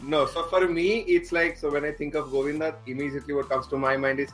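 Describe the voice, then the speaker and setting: A man's voice: on the high side, level tone, fast talker, a bit bright. A man with an Indian accent giving his opinions casually in a group chat. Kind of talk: casual panel discussion. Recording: echoey room sound with music behind.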